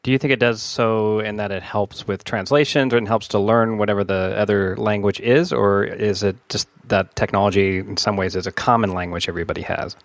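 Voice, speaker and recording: Low-voiced male podcast host, dry mic. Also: fairly even intonation, brisk, few pauses, no room sound. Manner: rambling questions with restarts. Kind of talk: conversational interview, promo read.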